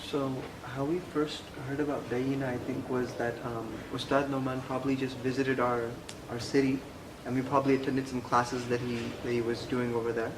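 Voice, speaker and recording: A young man raised in the United States, answering interview questions in a measured, conversational way with frequent fillers. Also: mid-range voice, level tone. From Pakistan, raised in the United States. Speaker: interview guest, Quran instructor. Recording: echoey room with clear background noise.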